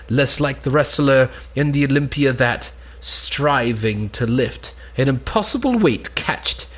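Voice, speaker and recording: Jealous, probing noblewoman, played by a young man: lively intonation, raspy edge, amateur Elizabethan play reading. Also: medium pitch. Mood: warning. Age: young adult. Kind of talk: cold read of Elizabethan stage comedy.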